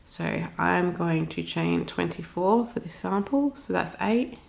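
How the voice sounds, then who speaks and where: A woman's voice: fairly low pitch, measured pace, vocal fry, darkish tone, low-quality recording. A woman with a cold and a snuffly nose, chatting informally through a step-by-step craft tutorial. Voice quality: snuffly, slightly stuffed-up nose. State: has a cold. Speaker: crochet tutorial host.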